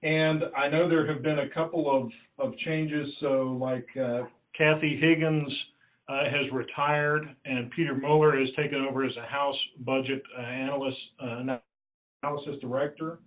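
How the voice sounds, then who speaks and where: A man's voice: mid-range voice, fairly even intonation. Man on an echoey, muffled conference line. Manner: halting, polite and deferential. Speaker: committee staff official running the meeting. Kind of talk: formal committee meeting remarks and roll call. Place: conference call, roomy sound.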